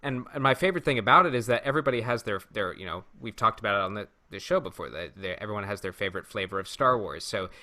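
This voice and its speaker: A man with a fairly low, very bright voice talks casually as a podcast host. He tells stories in a halting way, pausing to find his words, with a crisp forward edge to the tone. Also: expressive. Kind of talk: casual chat, anecdotes.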